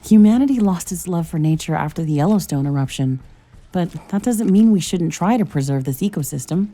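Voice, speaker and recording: An actress playing a thoughtful, principled scientist-commando in a video game, speaking in a calm, articulate, wry way over music. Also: female voice, fairly low pitch, brisk. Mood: earnest conviction. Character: thoughtful, principled female scientist-commando, wryly teasing, mech pilot. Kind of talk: scripted video game voice acting.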